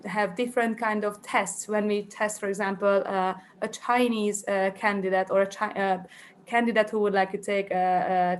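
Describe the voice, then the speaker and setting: A woman's voice: medium pitch, a little hiss or hum. Hesitant Hungarian woman presenting a talk. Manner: hesitant, many fillers and restarts while thinking aloud. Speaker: conference presenter. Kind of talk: academic conference presentation.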